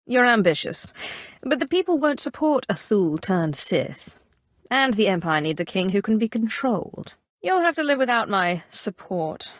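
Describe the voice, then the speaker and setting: An actress playing a cool, ambitious Sith inquisitor in a video game, formal, haughty and commanding in measured, scripted lines. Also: female voice, mid-range voice, sing-song, close to an everyday voice. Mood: cool, assertive.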